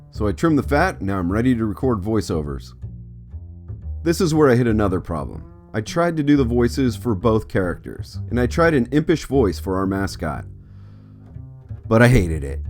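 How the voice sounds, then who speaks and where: A man's voice: lowish voice, darkish tone. A middle-aged man hosting an art vlog with scripted narration. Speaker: host, artist.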